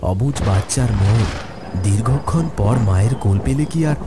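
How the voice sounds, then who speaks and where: A man's voice: lowish voice, normal speed, very noisy. A man giving a scripted, dramatic narration of a horror story.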